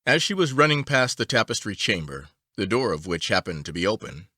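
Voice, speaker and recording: A man reading a story aloud as an audiobook narrator, with a measured, expressive storytelling delivery. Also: on the low side, dry recording, studio quality.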